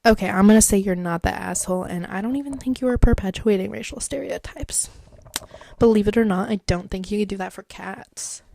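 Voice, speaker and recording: A woman hosts a solo podcast, reading advice posts aloud and rambling between them with plenty of fillers. Her voice sounds congested and stuffed up, with a gravelly, creaky texture and a dark, mellow tone. The sound is dry and close to the mic. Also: medium pitch, brisk, dry recording. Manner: chatty and rambling, many likes and fillers. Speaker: podcast host.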